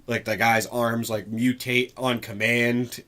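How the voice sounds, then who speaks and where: A man's voice: medium pitch, flat delivery, slow, bright, forceful tone. Casual man co-host, conversational mid-range voice. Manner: informal, frequent "like" while reasoning. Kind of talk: casual discussion of films and games.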